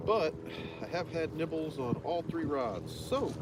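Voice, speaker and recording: A man's voice: on the high side, lively intonation, a little slow, heavy background noise. A man chatting casually on a fishing live stream in a rambling, self-deprecating way, sounding slightly congested from allergies. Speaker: fishing livestreamer. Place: outdoors beside a reservoir.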